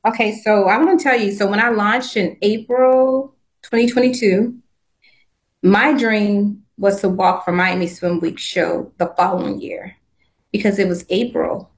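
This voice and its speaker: A woman's voice: mid-range voice, fairly even intonation, measured pace. A woman in her fifties from Southern California, chatting about her work as a designer in an upbeat way, laughing often and restarting her sentences. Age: 50s. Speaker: guest, swimwear designer. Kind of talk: casual interview chat.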